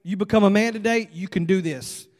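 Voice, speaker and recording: A man's voice: high-pitched, brisk, natural voice. A man acting out a big, hearty father who urges his son on with emphatic, repeated phrases, in a told story. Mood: urging. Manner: emphatic, urging, repetitive. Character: huge young dad, pushy, hearty cheerleader to his son. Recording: long hall reverb.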